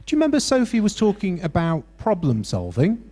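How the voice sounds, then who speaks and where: A man's voice: sits high, wildly swooping pitch, dark tone. A British man facilitating a mental health workshop, encouraging and full of restarts as he talks to the group. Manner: encouraging, halting with many restarts. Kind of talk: interactive workshop teaching. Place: university hall workshop.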